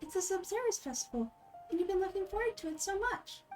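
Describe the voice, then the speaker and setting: A woman voicing a tiny, excitable, nosy fairy companion in amateur game dialogue, exclamatory and speaking of itself by name. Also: extremely high pitch, quick pace, soft background music, pitched above the performer's own voice. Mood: concerned. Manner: exclamatory, refers to self by name.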